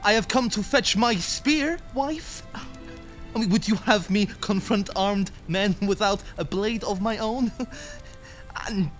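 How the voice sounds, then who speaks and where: Bumbling, boastful Viking husband. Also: male voice, high-pitched, slow pace, fairly bright tone, faint music behind. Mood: blustering. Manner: stammering, hesitant bluster. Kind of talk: comic video game cutscene acting.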